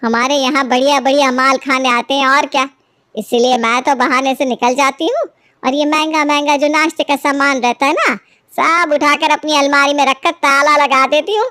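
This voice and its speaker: A woman's voice: sits high, fairly even intonation, normal speed, pushed and bright. A woman voicing a stingy, scheming older mother-in-law in a cartoon family story, scolding and gossiping indignantly. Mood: scornful gossiping.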